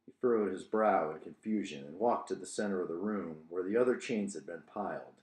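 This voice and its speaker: A man's voice: deep voice, brisk, a little mellow. A man hosting as an author, reading his own novel and talking about it. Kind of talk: audiobook narration with casual commentary. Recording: roomy, echoing room sound.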